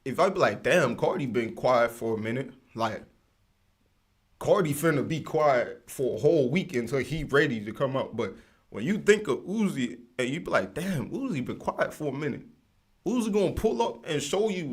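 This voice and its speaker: Slangy, rambling gravelly-voiced man. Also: medium pitch. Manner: slangy, rambling, animated with lots of fillers. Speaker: livestream host. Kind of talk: casual livestream rant.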